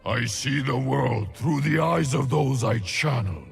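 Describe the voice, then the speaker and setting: A weary warrior shaman of the frozen wilds, with a heavily gravelled, creaking voice. He speaks slowly and solemnly, giving each phrase weight, as a video game character over background music. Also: medium pitch. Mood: reflective. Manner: slow, solemn, weighty delivery.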